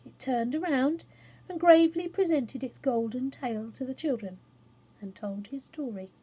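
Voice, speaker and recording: Expressive, higher-pitched woman reading a children's story. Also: slightly rough, poor audio. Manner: expressive, dramatic pauses and emphasis. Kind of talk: animated children's story narration.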